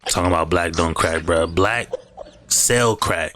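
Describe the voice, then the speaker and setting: A man's voice: low voice, unhurried, bright, forceful tone. A man hosting a reaction video, giving blunt, slangy commentary on clips and repeating "bruh" a lot. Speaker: reaction host. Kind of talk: casual commentary over clips.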